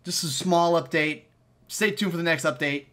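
Higher-voiced American man chatting about gaming. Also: normal speed, fairly bright tone. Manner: upbeat, slangy, informal. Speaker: gaming video creator. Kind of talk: casual gaming vlog commentary.

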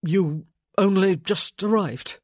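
A woman reading a novel, voicing a guilt-ridden, defensive man who talks in a terse, halting, desperate way. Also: fairly low pitch, lively intonation, a little mellow, breathy edge, dry recording, clean studio sound, heavily acted. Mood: halting, awkward.

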